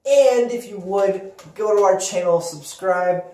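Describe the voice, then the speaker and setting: Higher-voiced man in a roomy, distant recording. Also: a little slow, warm tone. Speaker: podcast host. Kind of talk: casual video podcast chat. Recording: roomy, distant mic with clicks and noise.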